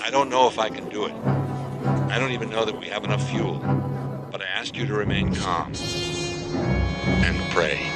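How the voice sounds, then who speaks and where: An actor playing a steady, authoritative airline captain under strain in a radio drama, speaking deliberately. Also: male voice, on the low side, over loud music, lightly acted. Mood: grave, solemn.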